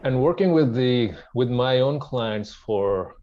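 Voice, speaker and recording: A man's voice: mid-range voice, lively intonation, takes it slowly, highs cut off. A middle-aged man from Pakistan telling his personal story as an interview guest, in a conversational way. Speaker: podcast guest, wellness centre founder.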